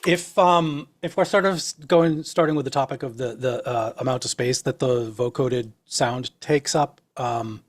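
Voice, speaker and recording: Mid-pitched man explaining, halting and thoughtful. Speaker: co-host. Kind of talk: casual technical explanation.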